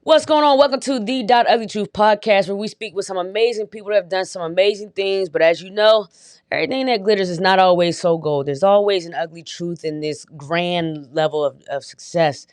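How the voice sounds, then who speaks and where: A woman's voice: mid-range voice, a little fast, a little mellow, clear, resonant tone, dry recording. A woman hosting a podcast in a hyped, slangy, upbeat way, casually chatting with her guest. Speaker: podcast host. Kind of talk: casual interview chat.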